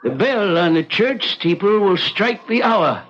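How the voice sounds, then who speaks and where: Slow, sinister, courteous old man. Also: high voice, lively intonation, fairly bright tone, heavily acted. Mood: quietly menacing. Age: older. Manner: formal, deliberate, cryptic. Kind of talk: old-time radio mystery acting. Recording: muffled, noisy vintage radio recording.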